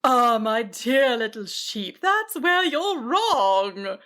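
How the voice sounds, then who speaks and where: Woman doing a slow booming kind dragon. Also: big pitch swings, a bit bright, heavily acted. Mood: warm. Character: big kind but fearsome female dragon, protective.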